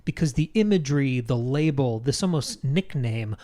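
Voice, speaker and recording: A man's voice: mid-range voice, expressive, moderate pace, mellow. A man with a mid-range voice co-hosts a book discussion. He talks conversationally and analytically, laying out his points as he thinks them through. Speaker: book club podcast co-host.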